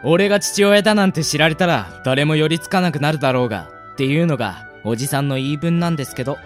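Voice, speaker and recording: Man acting a polite, higher-voiced young shop minder. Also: fast, soft background music, close to an everyday voice. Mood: sheepish, matter-of-fact. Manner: polite, easygoing, soft-mannered speech. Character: polite, cheerful young son minding a flower shop. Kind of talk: Japanese amateur radio drama acting.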